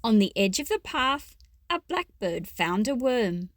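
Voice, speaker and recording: Slow, lively woman reading a picture book aloud. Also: a little high. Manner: measured, expressive phrasing with explanatory asides. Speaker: children's picture book read-aloud host. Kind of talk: expressive storybook read-aloud with asides to young listeners.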